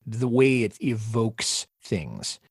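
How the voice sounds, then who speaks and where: A man's voice: lowish voice, very animated, takes it slowly, gravelly, husky, dry recording, clean studio sound. A man hosting a podcast, talking conversationally and in detail about music. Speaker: podcast host.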